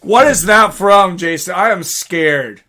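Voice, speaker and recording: Man, livestream host, higher-pitched, bright forceful voice, casual, excitable, informal, reacting to chat. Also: slow pace.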